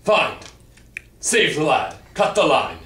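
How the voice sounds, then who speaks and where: Man playing a loud, high-pitched frantic whaling mate. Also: huge pitch swings, takes it slowly, exaggerated voice. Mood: urgent, commanding. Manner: exclamatory, melodramatic. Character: frantic whaling ship mate shouting orders at sea. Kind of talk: comic puppet show performance. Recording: roomy, distant microphone.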